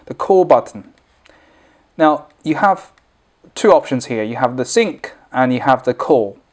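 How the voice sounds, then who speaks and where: A man's voice: mid-range voice, lively intonation, a little slow. A man presenting an informal, unscripted product tutorial, explaining things step by step as he demonstrates.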